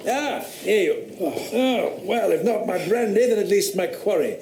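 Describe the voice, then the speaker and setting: An actor playing a witty, skeptical eighteenth-century philosopher, speaking with dry, precise period diction. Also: male voice, high voice, lively intonation, normal speed, roomy sound, noisy background. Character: wry skeptical male philosopher, urbane, rational. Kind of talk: radio drama acting.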